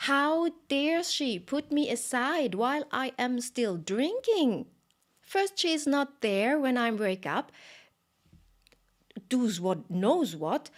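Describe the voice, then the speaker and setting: A woman's voice: high-pitched, lively intonation, slow pace, voice pushed up. A woman voicing a small, spoiled boy who rants indignantly. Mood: furious. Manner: indignant, ranting.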